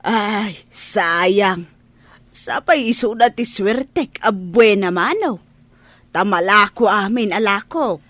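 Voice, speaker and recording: A woman's voice: medium pitch, expressive, slow, a bit bright, no echo. A woman with an Ilocano accent playing a polite but wary fish vendor in a radio drama. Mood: polite, evasive.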